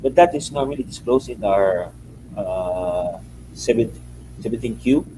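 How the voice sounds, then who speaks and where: A man with a Filipino accent answering as a company executive on a call, explaining figures plainly in short, halting phrases. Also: fairly low pitch, lively intonation. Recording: slightly noisy call, faint hum.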